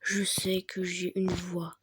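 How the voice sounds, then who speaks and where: A young man with a strikingly high, boyish voice talks slowly and softly, often close to a whisper, as he rambles through his gameplay. His nose sounds stuffed up from a cold. A steady hiss of noise sits under the recording. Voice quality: very high, childlike voice. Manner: soft, half-whispered, rambling. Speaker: gaming YouTuber. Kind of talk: gameplay commentary.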